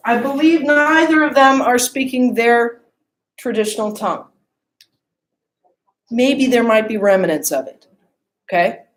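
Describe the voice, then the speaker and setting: Slow-talking woman lecturing in an echoey room. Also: on the high side. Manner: asks the class questions, checks in with "okay?" and "right?". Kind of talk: classroom lecture. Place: classroom.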